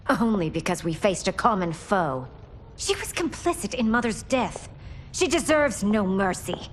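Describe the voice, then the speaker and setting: A woman's voice: mid-range voice, very animated, moderate pace, a little hiss or hum, natural voice. A woman acting a proud, unforgiving empress in a video game, speaking in an imperious, curt manner. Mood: stern anger. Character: stern, unforgiving young empress, proud and commanding.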